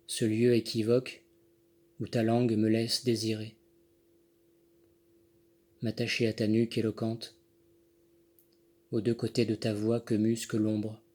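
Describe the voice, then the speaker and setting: A man reciting his own poem in measured, deliberate phrases with pauses between them. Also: fairly low pitch, mellow. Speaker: poet reading own poem. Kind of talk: poetry recitation. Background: Handel music accompanies the reading.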